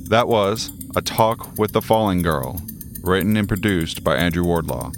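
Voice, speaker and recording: A man's voice: deep voice, normal speed. A man reading scripted credits as the host of a radio play podcast. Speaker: magazine editor and podcast host. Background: music.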